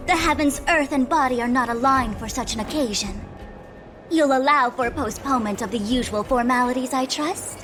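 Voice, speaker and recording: An actress playing a haughty, formal female diviner in a video game, speaking in pompous, archaic phrases. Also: high-pitched. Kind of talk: scripted video game cutscene acting.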